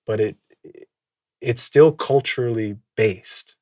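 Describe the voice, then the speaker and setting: A man whose first language is Samoan, telling his story as a podcast guest in a reflective, measured way, often laughing. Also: on the low side, a little mellow, dry recording, clean studio sound. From Minnesota, USA. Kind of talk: casual conversational storytelling.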